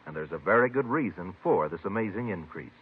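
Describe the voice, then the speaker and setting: A man's voice: deep voice, expressive, a little fast, husky. A man with an American accent reading a polished 1950s radio advertisement as a commercial announcer. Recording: tinny vintage radio broadcast.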